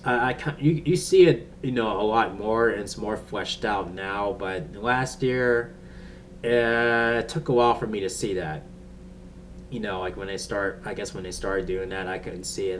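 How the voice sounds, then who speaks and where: A man giving a casual, unscripted review as he chats, halting and rambling as he searches for words. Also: fairly low pitch. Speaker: TV episode reviewer. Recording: distant mic with faint background noise.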